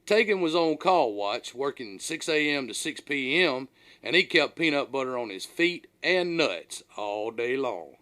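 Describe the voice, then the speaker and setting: A man reading his own first-person story aloud as a scripted audiobook, in an animated, dramatic storyteller's manner. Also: medium pitch.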